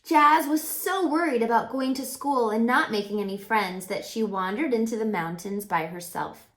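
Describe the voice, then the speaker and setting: A woman reading a picture book aloud to young children, expressive and emphatic, addressing the little listeners directly. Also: a little high. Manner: asks the listeners questions, emphatic, drawn-out phrasing. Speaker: storyteller, children's book reader. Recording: echoey room.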